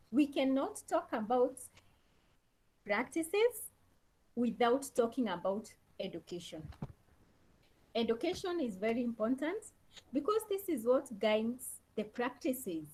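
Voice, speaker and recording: A woman's voice: fairly high pitch, a little mellow, breathy edge, poor audio. A woman lecturer teaching an online class over a laptop, repeating key phrases and questions to her students. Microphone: laptop on a video call.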